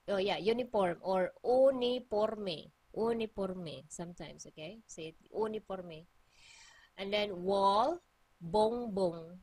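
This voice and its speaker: Woman teacher with a Cebuano accent, unhurried delivery, repeats each word patiently for learners. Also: mid-range voice, a little mellow, dry recording, rough recording. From Philippines. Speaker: language teacher. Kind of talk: online language lesson.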